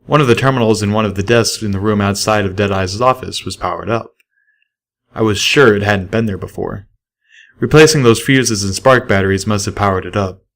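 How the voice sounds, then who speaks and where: A man's voice: lowish voice, fairly quick, fairly bright tone. A man narrating a scripted first-person story as an audiobook reader.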